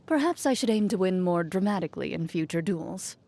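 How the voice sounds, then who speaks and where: An actress playing a cool, composed young woman, a champion duelist in a fantasy video game, speaking in a measured, formal and restrained way. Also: lowish voice, expressive, dark tone. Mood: measured, reflective. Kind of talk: scripted video game character voicelines.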